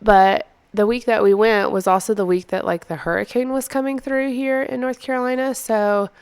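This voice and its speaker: An American woman with a stuffy, congested voice gives a low-key, casual voice-over as a craft video host. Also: fairly even intonation, normal speed, darkish tone, smooth. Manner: low-key, not very peppy. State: congested from being under the weather.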